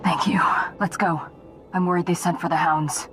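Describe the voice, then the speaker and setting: A woman playing a cool, ruthless assassin's lieutenant in a video game, low-key and matter-of-fact. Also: steady tone, moderate pace, music in the background, natural voice. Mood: quiet, eager. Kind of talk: dramatic video game acting. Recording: clear background ambience noise.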